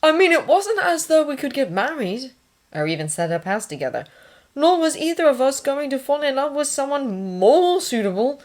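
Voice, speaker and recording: Woman voicing guarded young man, pitched up and animated. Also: moderate pace. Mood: guarded, pained. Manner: stiff, clipped, defensive. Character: young male hospital orderly, guarded, stiff, easily flustered. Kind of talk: audiobook character dialogue.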